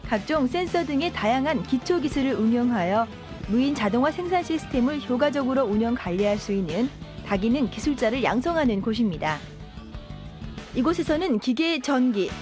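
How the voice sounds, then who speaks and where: High-voiced woman narrating over music. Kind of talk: scripted informational narration.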